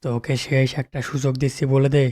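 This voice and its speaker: A man voicing a stern, fed-up tiger who rules the jungle in a children's cartoon, gruff and commanding. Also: medium pitch, flat delivery, fast talker, mellow, natural voice. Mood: threatening.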